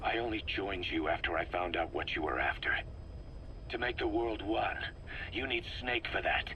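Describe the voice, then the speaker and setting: A man's voice: deep voice, lively intonation, moderate pace, pushed and bright, heavily acted, low-quality recording. A man playing a bitter, suspicious soldier in a video game, confronting someone with accusations. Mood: bitter, accusing. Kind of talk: dramatic video game voice acting. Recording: faint noise, recorded tape audio.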